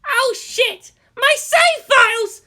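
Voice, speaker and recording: A man voicing an excitable, nerdy, scheming teenage schoolboy with an eager, whiny manner. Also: very high voice, slow, voice pushed up, exaggerated voice. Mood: wailing.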